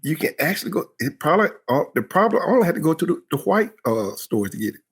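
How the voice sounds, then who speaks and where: A man co-hosting a podcast. He rattles his words out fast in a husky voice, teasing and pushing his point. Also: a little high, very animated. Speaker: podcast co-host. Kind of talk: casual chat.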